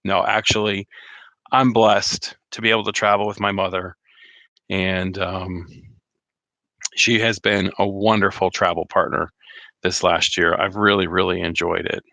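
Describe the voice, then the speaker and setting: A slightly hoarse man chatting casually on a live stream, rambling with many fillers and restarts. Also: low voice, little pitch movement, unhurried, sharp tone, dry recording. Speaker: RV vlogger hosting a live chat.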